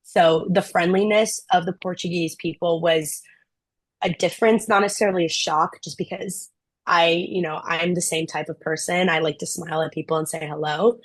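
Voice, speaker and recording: A young woman from Brazil, a former intern answering questions in a relaxed chat, full of "like" and "um". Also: lowish voice, moderate pace, no echo. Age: young adult. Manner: chatty, frequent "like" and "um" fillers. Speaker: program alum, student. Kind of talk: casual Q&A answers.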